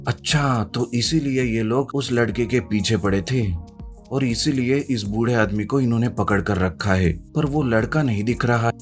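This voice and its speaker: A man's voice: mid-range voice, a little slow, lightly acted. A man voicing a kind-hearted, earnest poor onion seller in a story. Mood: thoughtful. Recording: music behind the voice.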